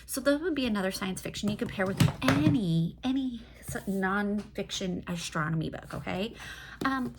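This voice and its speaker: A woman's voice: mid-range voice, gravelly, a little hiss or hum. A woman chatting casually about books in an enthusiastic, digressive way, like a host reviewing her reading. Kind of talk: casual book review chat.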